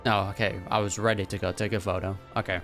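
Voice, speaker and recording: A man's voice: low voice, flat delivery, quick pace, over music. A man giving casual, laid-back reaction commentary as he plays through a video game. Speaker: gaming reaction streamer.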